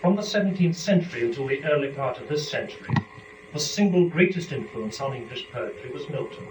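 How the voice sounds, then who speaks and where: A man speaking in a formal, deliberate, articulate way, as a performer presenting poetry to listeners. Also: medium pitch, expressive. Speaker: performer and lecturer. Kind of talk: dramatic poetry reading and introductory lecture. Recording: echoey room, distant mic, dull, noisy.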